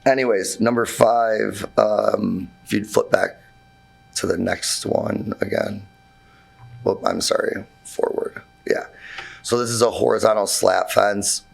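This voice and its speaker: A hoarse man with a very low, deeply gravelly voice speaks in a meeting room. His talk is halting and informal, full of fillers and restarts, and his voice sounds husky and nearly gone. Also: fairly even intonation. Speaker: contractor presenting to a zoning board. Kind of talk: informal presentation at a public meeting.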